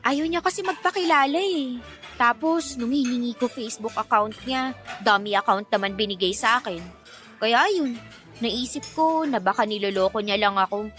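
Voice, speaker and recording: A woman's voice: fairly high pitch, moderate pace, fairly bright tone, soft background music, lightly acted. An actress playing a touchy, easily irritated young woman in a radio drama, with a defensive, sulky manner. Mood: sulky, troubled.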